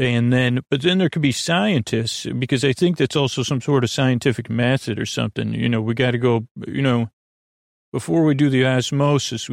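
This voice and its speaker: A man hosting a bedtime podcast in a gravelly voice, meandering haltingly through repetitive, digressive thoughts that trail off mid-sentence. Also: medium pitch, no echo, clean studio sound. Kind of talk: rambling, meandering bedtime monologue.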